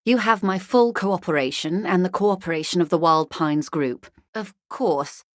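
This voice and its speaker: An actress playing a bourgeois corporate negotiator in a video game, polite and articulate, with a measured, drily condescending wit. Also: female voice, on the low side, no echo, clean studio sound, close to an everyday voice. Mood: politely concerned. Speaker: senior labour negotiator. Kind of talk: video game dialogue voice acting.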